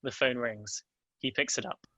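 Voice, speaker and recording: Bright, even-toned male narrator. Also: mid-range voice, normal speed, no room sound. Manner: plain, even reading. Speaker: narrator reading stage directions. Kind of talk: scripted narration at a table read.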